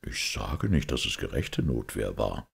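A man voicing a grave, thoughtful priest detective who explains things in a measured, sombre way in an audiobook. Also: extremely low pitch, sing-song, creaky, slightly rough, full character voice. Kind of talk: audiobook character acting.

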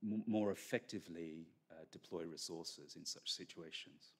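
A middle-aged man speaks at a podium mic in a large conference hall, his voice low and deep. He lectures in a measured academic way, often pausing on fillers and restarting his phrases. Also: breathy edge. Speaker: keynote speaker. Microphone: podium microphone.

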